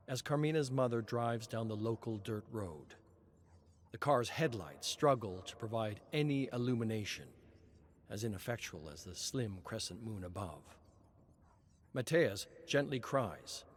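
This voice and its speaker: A man reading a scripted dramatic story as narrator in a measured, suspenseful manner. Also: fairly low pitch, slight background noise.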